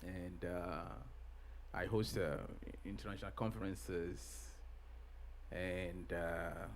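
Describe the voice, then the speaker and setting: A middle-aged man from Ghana answers an interviewer's questions. His voice sits a little low, and he speaks in a halting way, with frequent pauses and restarts. Faint background noise runs under the recording. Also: fairly even intonation. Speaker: pastor and guest.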